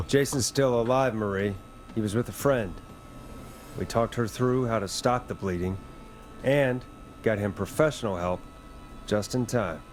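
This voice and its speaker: An actor playing an earnest late-night radio DJ in a video game, questioning callers in a steady, probing manner. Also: male voice, lowish voice, a little slow, long pauses, slight background noise, close to an everyday voice. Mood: firm. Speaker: radio host.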